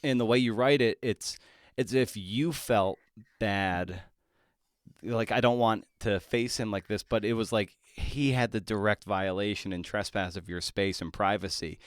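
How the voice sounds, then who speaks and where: A man hosting a conversational interview, setting up long questions in halting, reflective phrases. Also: fairly low pitch, clean tone, no echo, clean studio sound. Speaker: podcast host interviewing an author.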